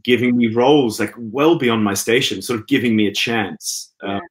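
A man in his thirties from Western Australia, a guest on a video call, talking in an easygoing, hesitant way with many pauses and restarts. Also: medium pitch, low-quality recording. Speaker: guest, opera singer. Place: indoor room at home. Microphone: laptop webcam on video call.

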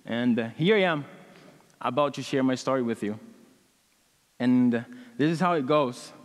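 A young man in his twenties with a Brazilian accent, a native Portuguese speaker, giving a personal testimony to a congregation in an earnest, halting way with many fillers, on a headset mic in a large church hall. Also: medium pitch. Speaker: student speaker.

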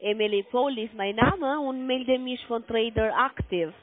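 A woman with a foreign accent in German on a sales call, halting and insistent with her questions. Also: on the high side. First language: not German. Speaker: call center sales agent. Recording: narrow-band phone line.

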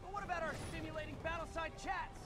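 A man's voice: very high voice, fairly even intonation, fast talker. An actor playing a cheeky young male masked hero who banters with wisecracks mid-fight. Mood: joking. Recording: game audio over loud music.

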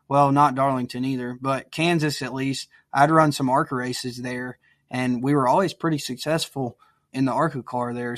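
A man's voice: medium pitch, no echo, studio quality. A young man, a racing driver hosting a podcast, talking casually. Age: young adult. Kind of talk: casual chat.

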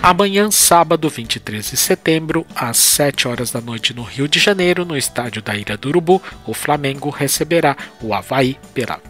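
A man's voice: mid-range voice, expressive, moderate pace, hardly any pauses. A man with a Brazilian accent hosting a sports program, methodically reading scripted standings and fixtures in an announcer's manner. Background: background music.

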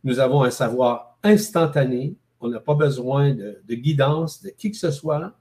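An older man with a Québécois French accent speaks over a video call from his home. His voice is mellow and dark, and he talks in a measured way, pausing often to find his words. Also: mid-range voice, animated. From Quebec City, Canada. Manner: slow, measured, many hesitations. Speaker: guest. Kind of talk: spiritual interview talk. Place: home room with plants.

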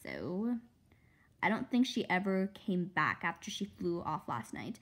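Animated, slightly husky woman reading aloud. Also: lowish voice, measured pace. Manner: animated, acting out dialogue and sound effects. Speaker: read-aloud host. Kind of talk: expressive storybook read-aloud.

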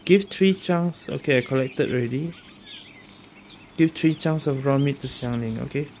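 A man's voice: mid-range voice, normal speed, a little mellow, a little hiss or hum. A man giving casual commentary as he plays through a video game. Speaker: gaming streamer. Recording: game music behind voice.

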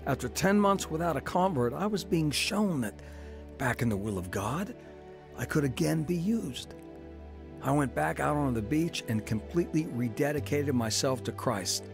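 Gravelly guy narrating his own faith story, earnest, bit preachy, faint music behind. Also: on the high side, animated, moderate pace. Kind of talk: dramatized testimony narration.

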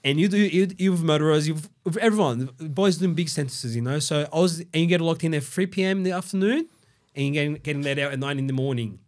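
A man, telling his story as a podcast guest in a casual, slangy way, with many restarts, recorded on a boom mic in a studio. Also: fast talker. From Brazil. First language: Portuguese. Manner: animated, slangy, restarts and repeats words. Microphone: studio mic on boom arm.

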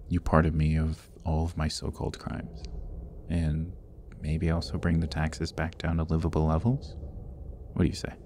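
A man's voice: a little mellow, a little hiss or hum. A man playing a sardonic jailed thief in an ASMR fantasy roleplay, wry and teasing, with whispered asides. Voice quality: very deep, gravelly. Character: roguish, sardonic male thief jailed in a dungeon. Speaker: voice actor.

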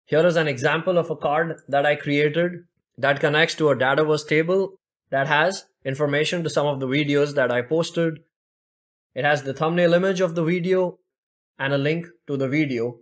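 A young man walks through a software demo step by step, his voice sitting a little higher than most men's. He explains each click at a steady, even pace, speaking into a desk microphone at his computer. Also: normal speed. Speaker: tech tutorial presenter. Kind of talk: screen-recorded software demo narration.

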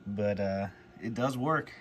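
A man's voice: lowish voice, slow. A man giving a casual, plainspoken hands-on demonstration in an indoor workshop. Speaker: host of a radio repair video. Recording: clear background noise, workshop ambience.

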